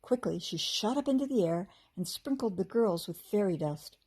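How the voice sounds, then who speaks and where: An American woman, a teacher, reads a children's book aloud in an animated, expressive way, acting out the dialogue. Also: medium pitch, moderate pace, husky. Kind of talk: expressive storybook narration.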